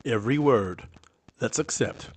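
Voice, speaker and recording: A man playing an unflappable, polite British gentleman sidekick in a 1940s-style radio drama. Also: medium pitch, expressive, measured pace, husky. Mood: composed. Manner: understated, polite, terse. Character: unflappable, clipped English gentleman sidekick named Reggie.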